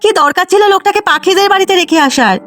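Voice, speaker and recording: Woman playing a shrill, fast-nagging village wife. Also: animated, faint music behind. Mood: angry scolding. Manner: sharp-tongued, nagging. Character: greedy, scolding, short-tempered village wife. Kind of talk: Bengali cartoon voice acting.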